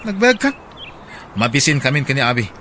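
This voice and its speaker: An actor playing a bossy, unfaithful husband in an Ilocano radio drama, with an Ilocano accent. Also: male voice, mid-range voice, very animated, a little fast, sharp tone, slight background noise. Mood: impatient. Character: domineering, unfaithful husband bossing his wife around.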